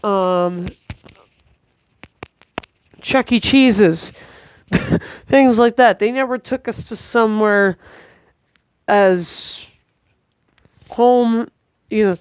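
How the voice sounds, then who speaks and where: A tired, congested young woman, giving a hesitant, chatty vlog update at home with many pauses and restarts. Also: mid-range voice, clear, resonant tone, no echo. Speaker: vlogger. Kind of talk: casual rambling update to camera.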